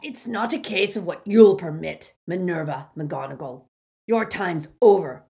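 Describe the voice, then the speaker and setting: A woman's voice: medium pitch, lively intonation, unhurried, voice pushed up. A woman voicing a brutish, hot-tempered male wizard thug who talks in coarse dialect. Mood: menacing. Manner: loud, coarse dialect speech.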